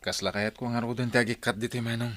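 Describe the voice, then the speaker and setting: An actor playing a grieving, widowed working father, plaintive and gentle with his children, in a radio drama. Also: medium pitch, steady tone, quick pace, dry recording, lightly acted. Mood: humble. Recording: music bed behind the voice.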